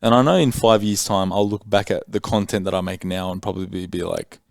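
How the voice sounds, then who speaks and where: A man's voice: sits low, fairly even intonation, a little fast, vocal fry. Blunt, swearing man in his thirties. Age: 30s. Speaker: podcast host. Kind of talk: candid conversation.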